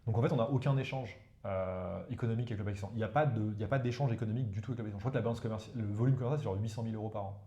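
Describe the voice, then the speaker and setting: Fast-talking man, roomy sound. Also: lowish voice, mellow. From India, Ganges plain. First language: Hindi. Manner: talks fast and explanatory, tags sentences with "you see". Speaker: guest expert, professor. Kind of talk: casual interview talk. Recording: roomy echo.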